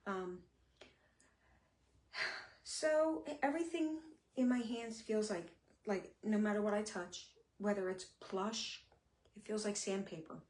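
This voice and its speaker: A woman's voice: medium pitch, a little mellow. Chatty woman, slightly slurred, echoey room. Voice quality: sometimes slurred speech. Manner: candid and chatty, with pauses and fillers. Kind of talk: casual live-stream talk.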